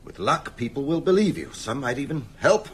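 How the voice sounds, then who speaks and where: An actor playing an ailing old vampire-hunting mentor in radio drama, solemn and urgent, with a husky voice. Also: male voice, medium pitch, big pitch swings, normal speed. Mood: grave, urgent. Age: older.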